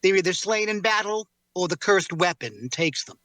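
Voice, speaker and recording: Man playing a bright-voiced, formal cursed warrior. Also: sits high, animated, normal speed, dry recording. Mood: grave, explanatory. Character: formal, guarded male cursed warrior, enigmatic and courteous. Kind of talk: audio drama table read.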